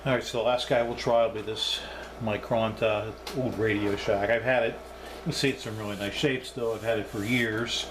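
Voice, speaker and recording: A man's voice: fairly low pitch, fairly even intonation, normal speed, noisy background. A man giving a casual, unscripted hands-on demonstration as a hobbyist reviewer, thinking aloud as he goes.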